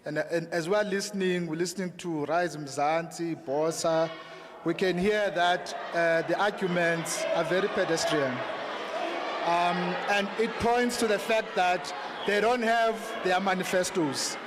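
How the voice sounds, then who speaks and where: A South African man making a political speech from the floor of a public debate, haltingly, repeating words and addressing people as comrades. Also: high voice, steady tone. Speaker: audience member, treasurer of a local political branch. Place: large hall at a town hall meeting. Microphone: handheld microphone held for the speaker. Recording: noisy, reverberant hall PA.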